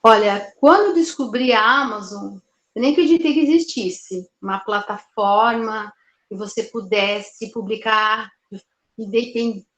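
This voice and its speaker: An older woman author answers interview questions in a thoughtful, halting way, often restarting words and adding "né". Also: a little high, fairly bright tone. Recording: roomy echo.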